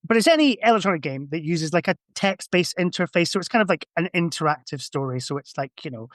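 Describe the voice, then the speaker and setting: A man's voice: on the high side, animated, fast talker, fairly bright tone, no room sound, clean studio sound. A man chatting casually as a podcast guest, giving rambling, conversational explanations. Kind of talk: casual chat.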